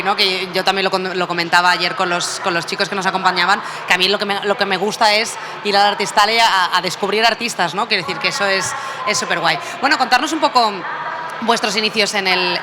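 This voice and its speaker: A woman interviewer asking questions in a chatty, friendly way during a casual interview. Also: mid-range voice. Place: busy convention hall. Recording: noisy convention floor.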